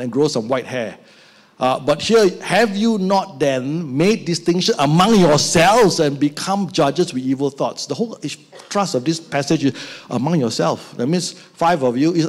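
An older man with a Malaysian English accent preaching a sermon on a church stage into a handheld mic, acting out little scenes as he goes. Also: mid-range voice. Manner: animated, acts out little scenes with put-on voices. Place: church stage with band instruments.

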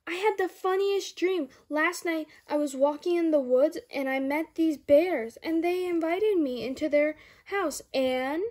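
A woman's voice: high voice, a little slow, few pauses, a little mellow. A young girl voicing a chatty, eager five-year-old pig girl from a storybook. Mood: excited. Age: child.

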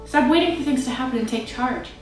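A woman's voice: high-pitched, fast, faint music behind. A young woman giving a motivational, emphatic graduation speech to her class. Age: young adult. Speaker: graduating student speaker. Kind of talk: prepared commencement speech. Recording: very echoey room.

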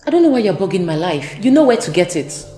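A woman voicing a betrayed girlfriend, cold and accusing, low voice, echoey room with faint music. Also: very animated, fast talker, dark tone. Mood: bitter. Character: hurt, distrustful woman confronting a cheating partner.

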